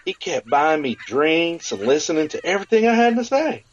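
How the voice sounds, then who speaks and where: A man voicing a goofy, boozy, defensive cowboy gunslinger in an audio story, with excitable delivery. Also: a little high, brisk, dull audio, voice pushed up. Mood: defensive, boastful.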